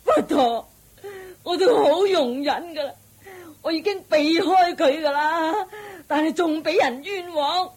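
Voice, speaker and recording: A woman's voice: sits high, unhurried. An actress playing an aggrieved, vengeful old mother-in-law in a Hong Kong Cantonese radio drama, melodramatic and wailing, repeating her phrases. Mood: tearful, indignant.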